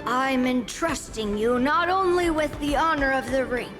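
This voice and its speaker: A woman voicing a confident, proud young swordswoman in a video game, with a self-assured, brisk manner. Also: high-pitched, over music. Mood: resolute.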